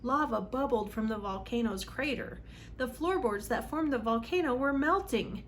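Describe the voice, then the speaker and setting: High-voiced woman reading a children's story. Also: normal speed, a little hiss or hum. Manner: dramatic, emphatic on exciting moments. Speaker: read-aloud host for children.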